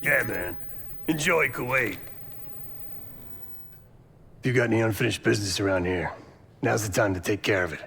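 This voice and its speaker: An actor playing a gruff, weary veteran soldier in a video game, blunt and casual, loyal underneath. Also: male voice, on the low side, moderate pace, pauses often, slight background noise. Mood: gruff, reflective. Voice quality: gravelly, forceful. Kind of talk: naturalistic video game acting.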